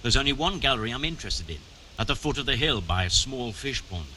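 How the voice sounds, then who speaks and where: An actor playing a stern, middle-aged medieval mining chief in a video game, brusque and commanding, with formal wording. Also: male voice, medium pitch, animated, fast, sharp tone, a little hiss or hum, low-quality recording. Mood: firm, warning. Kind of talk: scripted video game dialogue. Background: music.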